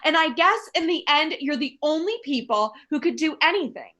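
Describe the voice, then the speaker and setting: Woman acting a really high voiced nervy invalid wife, bossy n complaining, old radio play style. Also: expressive, moderate pace, sharp tone, lo-fi sound. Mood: agitated, insistent. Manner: imperious, fretful, complaining. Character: anxious, indignant, demanding invalid wife alone at home.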